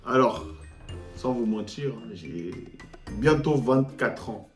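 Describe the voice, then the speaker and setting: Lively young man in slangy banter. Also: medium pitch, takes it slowly. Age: 20s. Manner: joking, animated slangy banter. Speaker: youtuber host. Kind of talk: casual drinking game chat. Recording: echoey room with music behind.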